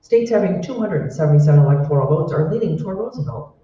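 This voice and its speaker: A man playing an earnest 1940s radio election newsreader with a measured, formal delivery. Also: on the high side, animated, lightly acted. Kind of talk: formal scripted news bulletin. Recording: very echoey, distant dull mic.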